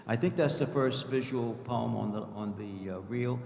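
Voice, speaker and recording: A man hosting a live poetry event, introducing performers informally in a halting way and repeating himself. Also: medium pitch, vocal fry, a little mellow. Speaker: event host introducing poets. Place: large reverberant room, live event. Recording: echoey hall with background noise.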